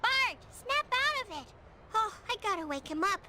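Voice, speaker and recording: A woman voicing an earnest, determined, bright young girl in a cartoon game. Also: very high-pitched, sing-song, moderate pace, heavy background noise. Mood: urgent. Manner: earnest, exclamatory. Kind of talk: animated sitcom game cutscene.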